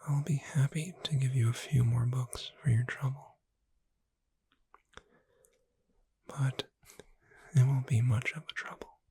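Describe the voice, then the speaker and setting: Lady doing a gentle witch bookseller for asmr, super breathy whisper, dark and soothing, right up on the mic. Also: very deep voice, no echo, exaggerated voice. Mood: kindly, reassuring. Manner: soothing, unhurried, hushed whispering. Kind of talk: soft-spoken ASMR roleplay. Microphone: close microphone in a dry space.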